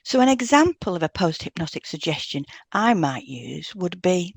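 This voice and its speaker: A woman with a somewhat low-pitched voice co-hosts an educational podcast. She reads scripted explanations, and the sound is very dry with no room echo. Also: very animated, moderate pace, gravelly, slightly rough. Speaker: podcast co-host, hypnotherapist.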